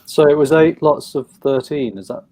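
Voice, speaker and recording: A man's voice: on the high side, normal speed, mellow. A man interviewing a writer, asking long, hesitant questions that wander before they land. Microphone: video call.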